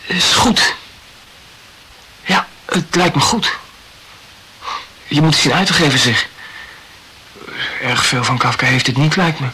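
A reserved young acquaintance in a radio drama. His voice is fairly high and sharp, with a hesitant, drily polite way of answering. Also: unhurried, pauses often, breathy edge, heavily acted, rough recording. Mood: tactful, dry. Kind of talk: literary radio play dialogue.

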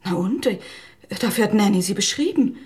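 A woman's voice: medium pitch, expressive, normal speed, a little mellow, close to an everyday voice. An actress in a German radio drama playing a hostile, indignant woman who answers in a curt, snappish, haughty way. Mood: snappish, contemptuous. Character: hostile, indignant, sharp-tongued woman under pressure.

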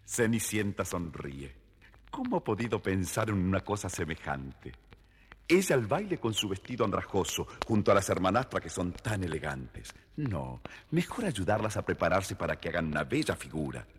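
Guy narrating a kids fairy tale, low voice, very expressive, old record with reverb. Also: normal speed, husky. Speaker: storybook narrator. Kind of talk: expressive scripted children's narration.

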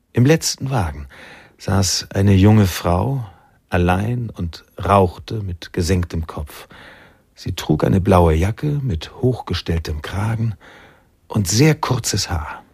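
A man narrating a literary radio drama in a measured, sombre manner, with a gravelly, husky voice. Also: deep voice, a little mellow, no echo, clean studio sound, full character voice.

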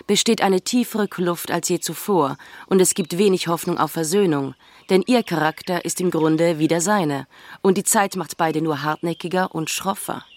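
An actress playing a proud, cold gentlewoman in a radio drama, speaking with a formal, cutting manner. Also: on the low side, moderate pace, no room sound, natural voice. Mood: cool, stern. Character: proud, cold gentlewoman guarding a wealthy family. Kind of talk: German radio drama acting.

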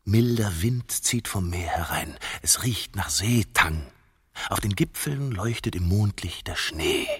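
A possessive dreamer in a radio drama, conjuring a private paradise. His voice is low and very husky, lingering on words, then tipping into exasperation. It rings in a long, hall-like reverb. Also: expressive, slow pace, heavily acted. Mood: dreamy, savouring. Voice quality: husky, gravelly. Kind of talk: radio play dialogue.

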